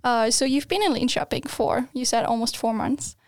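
A young woman in her twenties hosting a student podcast, upbeat and quick to laugh. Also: a little high, dry recording, studio quality. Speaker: podcast host. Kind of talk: friendly podcast interview.

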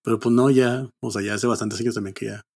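A man's voice: on the low side, steady tone, quick pace, creaky, darkish tone, slightly rough. A man with a Mexican accent chatting casually as a podcast co-host, often repeating himself as he goes. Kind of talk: casual chat.

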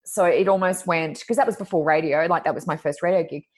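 A woman with a loud voice, a podcast co-host telling a personal story in casual conversation and acting out her own thoughts. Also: lowish voice, fast.

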